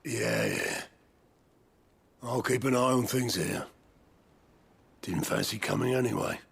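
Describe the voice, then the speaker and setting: A gruff, practical middle-aged lieutenant with a heavily gravelled voice. He talks slowly and bluntly in colloquial words, as in a fantasy game drama. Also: medium pitch, huge pitch swings, a bit bright, heavily acted. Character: gruff, practical middle-aged male right-hand man. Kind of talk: dramatic video game voice acting.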